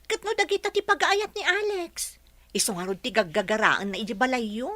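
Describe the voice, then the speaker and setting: A woman playing a playful, dreamy young woman with an Ilocano accent in a comedy radio drama, teasing and expressive. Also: on the high side, fairly quick, no echo.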